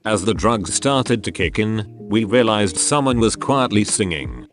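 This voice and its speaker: A man's voice: on the low side, animated, unhurried. A man reading out forum stories as narration over background music. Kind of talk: read-aloud narration.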